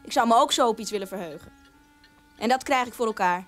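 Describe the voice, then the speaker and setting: A woman's voice: a little high, quick pace, faint music behind, close to an everyday voice. An actress playing a proud, defiant nineteen-year-old woman in a radio drama monologue. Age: young adult.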